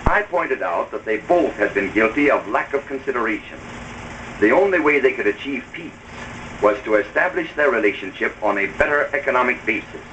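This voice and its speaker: A male host with a medium-pitched voice gives a formal, oratorical 1930s radio address. The old soundtrack is dull and noisy. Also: animated, brisk, a bit bright. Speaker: host and advice giver.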